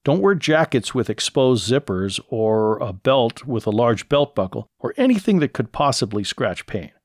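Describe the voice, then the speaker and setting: An American man hosts a solo instructional podcast in a medium-pitched voice. He works through practical advice step by step, reading from prepared notes. The sound is close and dry, with very little room on it. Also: sing-song, normal speed, no room sound. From lives in California. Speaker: podcast host. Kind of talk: solo podcast talk, scripted instructional narration.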